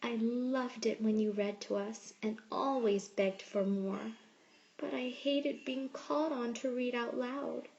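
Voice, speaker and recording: A woman reading a picture book aloud like a teacher, with expressive, measured storytelling. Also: a little high, muffled recording. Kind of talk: storybook read-aloud narration.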